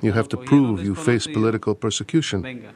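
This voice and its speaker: A man reading an interpreter's voice-over in a plain, steady way. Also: lowish voice, brisk, a crowd in the background. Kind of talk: read voice-over translation.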